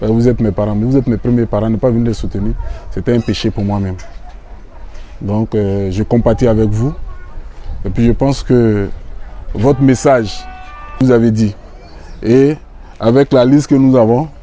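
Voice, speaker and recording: A man's voice: mid-range voice, fairly even intonation, mellow. A man with an Ivorian French accent giving an earnest, repetitive speech to a community gathering outdoors. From Côte d'Ivoire. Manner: earnest, repeats phrases, halting restarts. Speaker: visiting association official. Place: outdoor urban neighborhood gathering.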